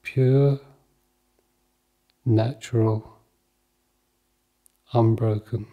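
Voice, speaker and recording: A man leading a guided mindfulness meditation in a calm, measured, soothing manner, leaving long pauses between short phrases. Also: mid-range voice, muffled recording.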